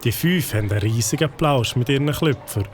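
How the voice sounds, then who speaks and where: A man with a Swiss German accent giving calm, scripted narration for a children's audio story. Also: medium pitch, brisk.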